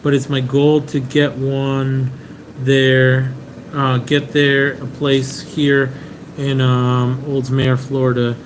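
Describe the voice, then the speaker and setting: A relaxed man from Venezuela chats through viewer questions on a live stream in a mid-pitched voice. His talk wanders, with restarts and filler words, and an air conditioner hums clearly in the room. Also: slow. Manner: relaxed, chatty, many "um"s and restarts. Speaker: car importer hosting a live Q&A. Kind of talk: casual live-stream Q&A, reading viewer comments. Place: indoors in a rented apartment. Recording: clear room noise and AC hum.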